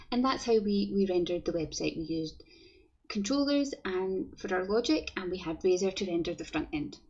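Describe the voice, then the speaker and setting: A woman's voice: mid-range voice, a little mellow, slightly rough, dull audio. A young woman with a Glasgow accent, a developer giving a friendly, chatty online conference talk and often repeating words as she goes. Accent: Scottish, Glasgow.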